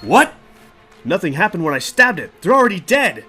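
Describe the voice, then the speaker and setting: A man's voice: high-pitched, big pitch swings, normal speed, sharp tone, faint music behind, heavily acted. An actor playing a practical young male adventurer in a fantasy audio drama, casual and direct. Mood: urgent.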